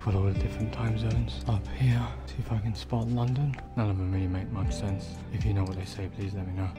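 Male travel vlogger, 30s, low register, casual and admiring, loud music behind. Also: level tone, fairly quick. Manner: admiring, repetitive exclamations. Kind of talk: casual travel vlog chat. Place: outdoors at a mountain mosque.

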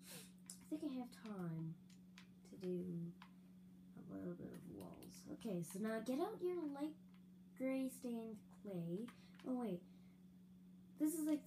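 A young woman casually narrating a building game with giggles and fillers, her voice a little raspy. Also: a little high. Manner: chatty, giggly, rambling with fillers. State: slightly raspy, throat-clearing. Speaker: gaming video creator. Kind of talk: casual let's-play building commentary. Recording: audible hum behind voice.

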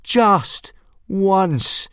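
A man voicing a defiant young Viking boy who shouts in anger. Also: sits high, lively intonation, drawn-out words, clear, resonant tone, dry recording, studio quality, pitched above the performer's own voice, exaggerated voice. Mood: furious yelling. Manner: shouting, yelling at the sky.

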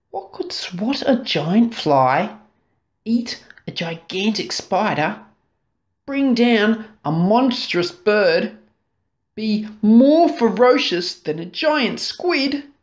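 A woman narrating a children's story expressively, dramatic and deliberate, drawing out key words. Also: mid-range voice. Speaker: storybook reader.

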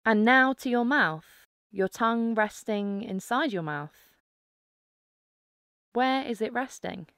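Female meditation guide: clear, smooth tone, very measured pace, calm instructive delivery. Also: on the high side, dry recording, clean studio sound. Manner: calm, instructive, unhurried with pauses. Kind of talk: guided mindfulness meditation narration.

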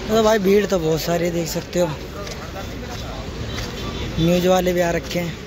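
Young guy vlogging on his phone, fairly high voice, chatty, loud traffic all around. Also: fairly even intonation, normal speed, crowd noise. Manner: chatty, keeps calling viewers brother. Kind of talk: casual vlog narration. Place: outdoors on city roads and at a stadium. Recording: noisy outdoor phone recording.

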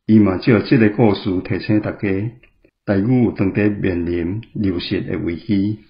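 A middle-aged man with a Taiwanese accent reads prepared commentary. His voice is low, and the recording sounds dull and lo-fi. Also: steady tone, a little slow. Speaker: guest commentator. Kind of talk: scripted literary commentary.

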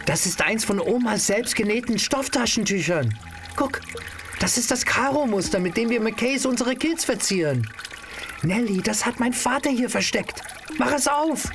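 A young actor as an excited, earnest teenage boy in an adventure audio drama. His voice is high with a creaky edge, and water trickles clearly behind him. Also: normal speed, a little hiss or hum, full character voice. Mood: curious, excited.

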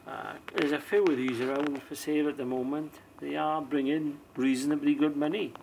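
A man giving a casual hands-on demonstration in an unhurried, plain-spoken way. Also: on the high side, fairly even intonation, vocal fry, darkish tone, a little hiss or hum, poor audio. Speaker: presenter of a radio demonstration video. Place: small indoor room or shed.

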